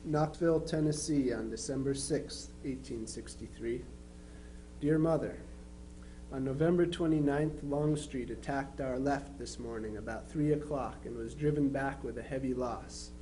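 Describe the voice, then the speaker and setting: Man as young soldier son, dramatized letter reading, soft mellow tone, measured and plain-spoken, faint noise and hum. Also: mid-range voice, lightly acted. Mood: earnest, factual. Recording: noisy live recording with hum.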